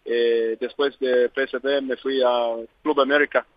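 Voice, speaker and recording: A man's voice: medium pitch, level tone. A man from Zambia talks in Spanish that is not his first language, stopping often to hunt for words. His voice comes through a dull, lo-fi line. The sound is dry, with no room echo. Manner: halting, searching for words with many fillers. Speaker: interview guest. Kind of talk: phone interview.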